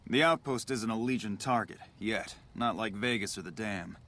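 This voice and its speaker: An actor voicing a frustrated, dutiful military officer in a video game, with a clipped, emphatic, bureaucratic delivery. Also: male voice, on the low side, moderate pace, a bit bright, slight background noise. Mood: resigned, weary. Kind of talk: video game character dialogue.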